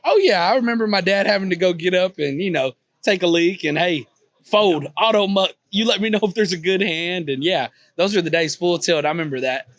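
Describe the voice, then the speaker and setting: A man in his twenties talks in an easygoing Texan drawl, his voice sitting a little high for a man. He rambles comfortably, dropping "you know" every few phrases as he answers questions. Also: fairly quick, fairly bright tone, dry recording. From Texas, USA. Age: 20s. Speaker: guest, poker vlogger. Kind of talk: casual interview chat. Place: on a cruise ship.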